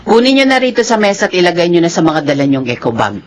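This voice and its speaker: An actress playing a caring middle-aged mother in a radio drama, fussing and gently coaxing. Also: on the low side, sing-song, fast talker. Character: caring, pleading mother figure of the household.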